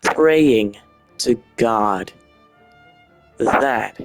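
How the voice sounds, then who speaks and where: A man's voice: medium pitch, animated, very slow, vocal fry, faint music behind. A man with a Dutch accent giving casual gaming commentary in short, informal, emphatic phrases. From Netherlands. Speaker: gaming video host.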